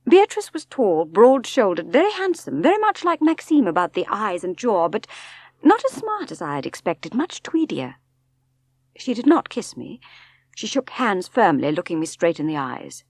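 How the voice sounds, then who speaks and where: A woman's voice: medium pitch, very animated, normal speed, a little mellow, no room sound, studio quality. A woman with an English accent reading a novel aloud as dramatic literary narration. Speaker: audiobook narrator.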